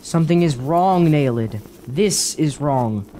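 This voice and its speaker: Man acting a mellow, cautious, earnest traveler. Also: a little high, expressive, a little hiss or hum. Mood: urgent, worried. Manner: formal, grave, measured phrasing. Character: cautious, earnest male traveler wary of dark woods. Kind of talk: fantasy radio drama acting.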